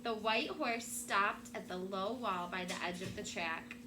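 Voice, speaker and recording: A woman's voice: medium pitch, unhurried. Woman teacher reading aloud over room noise. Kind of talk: expressive storybook read-aloud to young children. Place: classroom. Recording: noisy room with background ambience.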